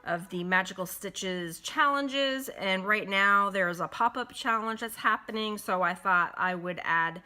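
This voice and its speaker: A congested woman chatting casually as she stitches in a home vlog, in an unhurried, friendly way. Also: medium pitch, bright, forceful tone. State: congested from a cold. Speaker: cross-stitch vlogger. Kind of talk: casual chat while stitching. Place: at home with windows closed.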